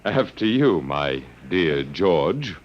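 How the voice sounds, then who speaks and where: An actor playing a resigned but quietly stubborn prisoner in an old radio drama, dry, sardonic and understated. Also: male voice, low-pitched, very animated, drawn-out words, full character voice. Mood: wry defiance. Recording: muffled vintage radio drama recording.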